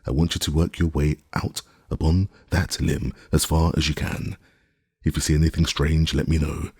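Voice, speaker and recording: A man voicing an excitable gentleman, feverish and commanding, who speaks in urgent, demanding questions. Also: extremely low pitch, normal speed, creaky, husky, no echo, exaggerated voice.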